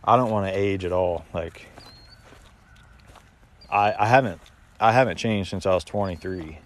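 Deep-voiced American guy chatting outdoors. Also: moderate pace. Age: 30s. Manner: laid-back, rambling, mild swearing. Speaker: livestreamer. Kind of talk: casual livestream chat. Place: outdoors in open rural countryside. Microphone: phone at arm's length. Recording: outdoor phone recording with ambient noise.

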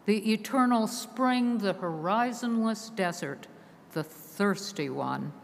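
A woman delivers a sermon at a very slow, deliberate pace, letting her phrases fall away at the ends. Her voice sits mid-range. It rings out through a large stone church with a long, lingering reverb. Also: mid-range voice, lively intonation, creaky. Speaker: preacher.

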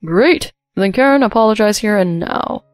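A woman's voice: medium pitch, lively intonation, normal speed, no room sound, clean studio sound, faint music behind, lightly acted. A woman voicing a weaselly, defensive male store manager with a blustering, evasive manner in a story. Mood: pressuring.

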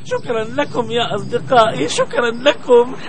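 A man's voice: very high-pitched, big pitch swings, a little fast, a crowd in the background. A man voicing a timid, whiny, pleading snake in a children's cartoon dub. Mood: relieved. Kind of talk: children's cartoon dubbing in formal Arabic.

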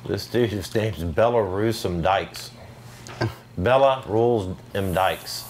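A man's voice: on the low side, takes it slowly, a little mellow, a little hiss or hum. A man playing a video game and commentating casually, in an exasperated, self-deprecating, slangy way. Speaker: video game player and channel host.

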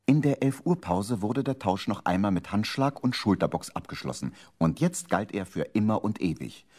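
A man's voice: on the low side, expressive, moderate pace, darkish tone. A man narrating a children's radio play as its scripted storyteller. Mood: storytelling.

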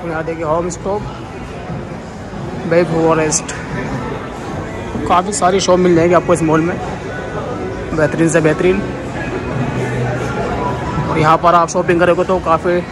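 A man's voice: a little high, little pitch movement, measured pace, pauses often, rough recording. A man giving a casual walking tour of a shopping mall, narrating into a phone camera and pointing things out as he walks. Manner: repeats "dekhiye" constantly, pointing things out. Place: outdoor mall plaza, then inside a large shopping mall. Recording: loud music and crowd noise behind.

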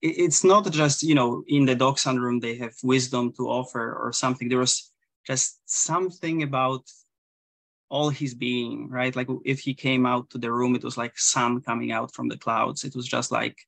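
A man in his thirties with a Polish accent, a Zen priest talking as a guest over a video call, halting and full of restarts and fillers. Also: medium pitch, fairly even intonation, vocal fry, a bit bright, no room sound. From Poland. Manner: hesitant, many restarts and fillers. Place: small room indoors. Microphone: video call webcam.

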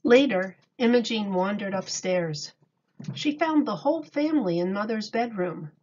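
A woman reading a picture book aloud to children like a teacher, deliberate and expressive. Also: medium pitch. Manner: deliberate, expressive, explains words for young children. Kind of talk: read-aloud storybook narration. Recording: dull lo-fi recording.

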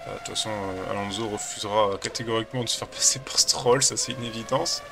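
A man's voice: fairly low pitch, quick pace, vocal fry, music in the background. A man with a cold chatting casually as a gaming channel host, rambling and hesitant while he plays a racing game. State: has a cold.

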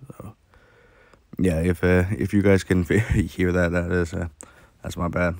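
A man with a sore, hoarse throat, rambling through casual commentary while playing a mobile game, with lots of fillers and restarts. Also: sits low, level tone, measured pace, a little mellow, no room sound.

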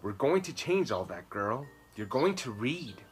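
A man voicing a kind, earnest male schoolteacher from a storybook, speaking gently and emphatically to a child. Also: mid-range voice, very animated, a little slow, soft background music. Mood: reassuring, determined. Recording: clear background noise, outdoor birdsong.

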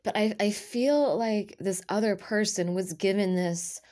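A woman with a raspy voice and a lingering cough, reading tarot cards to camera in a casual chat and half-whispering card names as she lays them out. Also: medium pitch, a little slow, warm tone. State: recovering from a cough. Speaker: tarot reader. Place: indoors at a table with a purple cloth. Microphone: camera over her hands, speaker off-frame.

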